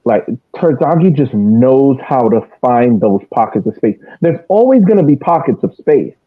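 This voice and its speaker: A man's voice: medium pitch, sing-song, moderate pace, phone-quality sound. A man giving casual, emphatic match analysis as a podcast host, circling back to repeat his points, through thin band-limited audio.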